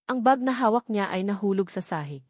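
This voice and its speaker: A woman's voice: mid-range voice, expressive, a little fast, darkish tone, no room sound, studio quality. A woman reading a dramatic scripted story as a storyteller.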